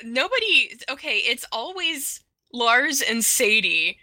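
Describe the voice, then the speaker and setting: A woman's voice: a little high, very animated, takes it slowly, bright, forceful tone, no room sound. A woman chatting casually and informally as a co-host on a podcast. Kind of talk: casual chat about a cartoon.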